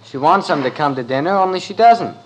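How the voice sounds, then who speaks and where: A man's voice: a little high, lively intonation, brisk, noisy background, natural voice. A man acting a gawky American teenage brother in a fifties family radio comedy. His delivery jumps up and down with gee-whiz emphasis, bossy yet good-hearted. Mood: concerned, bossy.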